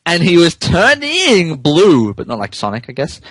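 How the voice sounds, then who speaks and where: A man's voice: a little high, wildly swooping pitch, moderate pace, a bit bright, no room sound. A man who co-hosts a podcast, giving a giggly, theatrical comedic reading of fan fiction and stumbling over words. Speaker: podcast co-host.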